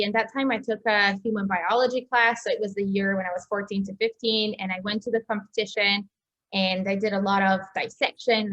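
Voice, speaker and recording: A woman's voice: mid-range voice, a bit bright. Young woman, slightly muffled recording. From Serbia. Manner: chatty, halting, many hedges and self-corrections. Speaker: interview guest, doctor and entrepreneur. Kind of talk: casual autobiographical talk.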